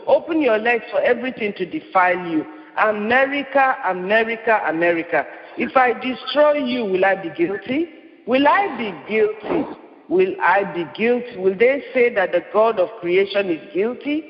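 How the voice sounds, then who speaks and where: A man delivering an impassioned prophetic sermon, repeating his lines with rising force. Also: high-pitched. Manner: impassioned, repetitive declamation. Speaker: preacher. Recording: muffled, reverberant hall.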